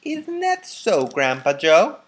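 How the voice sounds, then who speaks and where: A man's voice: fairly high pitch, wildly swooping pitch, slow pace, voice pushed up, full character voice. A man voicing a very old grandmother in a children's storybook, enthusiastic and emphatically agreeable. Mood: eager agreement. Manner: emphatic, eagerly confirming. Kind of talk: children's storybook read-aloud.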